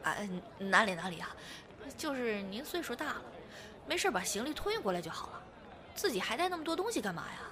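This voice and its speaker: A woman's voice: fairly high pitch, sing-song, fairly quick, a crowd in the background. A woman acting a confident, playful, reassuring woman in her thirties in a Chinese audio drama. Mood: exuberant. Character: lively, confident woman of thirty-three devoted to her girlfriend.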